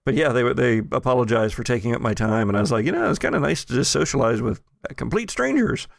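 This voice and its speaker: A man's voice: mid-range voice, expressive, quick pace, creaky, dry recording. An American man hosting casual banter among friends with dry, joking understatement. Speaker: podcast host.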